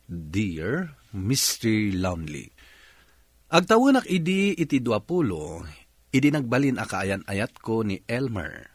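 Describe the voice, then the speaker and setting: A man presents a radio drama as its host. His mid-range voice is very animated in scripted, letter-reading narration, recorded dry and close in a studio. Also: medium pitch, no echo.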